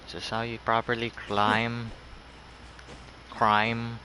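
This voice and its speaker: A man's voice: mid-range voice, completely flat delivery, takes it slowly, pushed and bright, a little hiss or hum. A man giving casual, offhand commentary as he plays a video game. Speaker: gameplay streamer.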